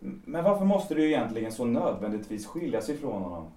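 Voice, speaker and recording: A young actor with a Swedish accent playing a hesitant, evasive lover who speaks softly in an audio drama. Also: male voice, medium pitch, sing-song, lightly acted. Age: young adult. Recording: roomy, distant from the mic.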